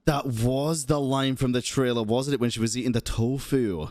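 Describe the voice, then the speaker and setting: A man's voice: mid-range voice, fairly even intonation, normal speed, a little mellow, no room sound. Casual male game streamer, dry close mic. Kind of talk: casual live reaction commentary.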